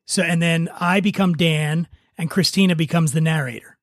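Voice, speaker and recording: A man's voice: on the high side, fairly even intonation, normal speed, dry recording, clean studio sound. A man hosting a podcast, chatting casually and directing a script reading. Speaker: podcast host, writer.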